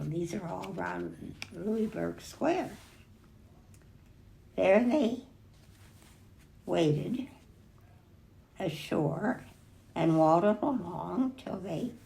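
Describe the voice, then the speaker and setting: An older woman with a heavily creaky voice reads a picture book to children. She goes slowly, with lively rises and falls, and stops now and then to remark on the pictures. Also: fairly low pitch. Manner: expressive, pausing to remark on the pictures. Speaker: storybook reader.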